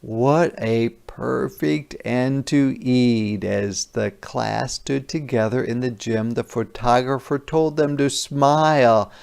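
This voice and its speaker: A man teacher reading a children's picture book aloud, adding simple, deliberate explanations for young listeners. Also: mid-range voice, steady tone, gravelly, darkish tone, smooth. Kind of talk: interactive children's read-aloud with explanatory asides.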